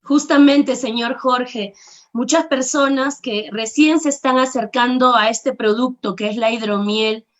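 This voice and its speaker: A woman's voice: fairly high pitch, steady tone, a little slow, lo-fi sound. A woman hosting an online talk, introducing the guest politely and formally. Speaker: host.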